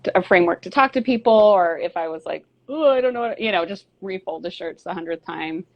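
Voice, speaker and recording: Woman, rambling candid guest, fry-heavy voice, muffled lo-fi remote audio. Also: fairly low pitch, animated, fairly quick. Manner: candid, rambling, many fillers and restarts. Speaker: band singer and bassist, guest. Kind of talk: casual interview chat. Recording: lo-fi dull audio.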